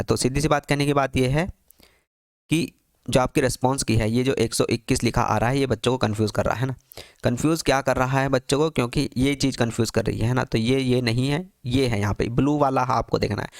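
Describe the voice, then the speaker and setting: A man's voice: mid-range voice, creaky, no echo, clean studio sound. A man tutoring for a teaching exam, walking through an answer key on screen, asking himself questions and answering them with constant repetition.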